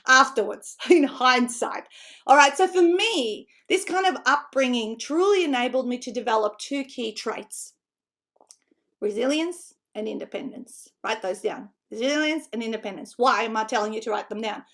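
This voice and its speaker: This middle-aged woman speaks with a Macedonian-tinged Australian accent over an English she learned in her teens. Her voice sits high, and she talks with eager, chatty energy, often checking in with her listeners as she coaches them on writing a book. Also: normal speed. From North Macedonia. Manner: energetic, chatty, frequent tag questions and laughs. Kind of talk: online seminar presentation.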